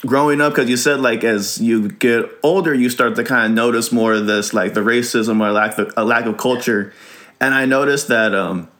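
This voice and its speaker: A man interviewing a guest, calmly summing up what was said before asking his question. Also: medium pitch, moderate pace.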